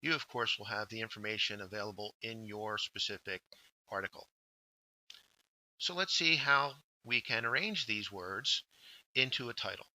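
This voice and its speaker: A man narrating a scripted instructional workshop, explaining step by step with deliberate emphasis on key words. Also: lowish voice, pushed and bright, no room sound, rough recording. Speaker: workshop instructor, journal editor.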